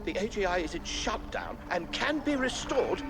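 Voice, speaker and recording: A man's voice: high voice, big pitch swings, normal speed. A man voicing a haughty, indignant corporate board member in a video game cutscene, formal and emphatic. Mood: indignant, pleading.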